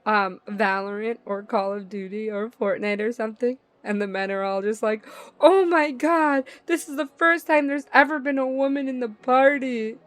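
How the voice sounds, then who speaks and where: A woman's voice: a little high, moderate pace, hardly any pauses, no room sound. A woman livestreamer reading visual novel narration aloud and reacting in a playful, slangy way. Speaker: livestream gamer.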